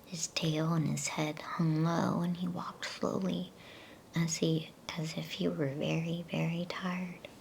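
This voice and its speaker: A woman softly whispering an ASMR bedtime story as storyteller, hushed, soothing and unhurried. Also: low voice, little pitch movement, vocal fry, slight background noise, low-quality recording.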